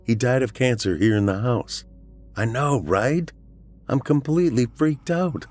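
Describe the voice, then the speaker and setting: A man voicing an anxious, excitable adult woman with an expressive, informal manner in an audiobook. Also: mid-range voice, a little slow, faint music behind, pitched above the performer's own voice. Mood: freaked out.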